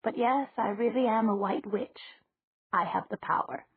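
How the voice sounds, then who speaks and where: Woman doing a gentle batty old witch. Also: mid-range voice, slightly rough, dry recording, voice pushed up. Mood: gentle, rambling. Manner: slow, gentle and rambling. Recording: dry, tinny phone-like sound.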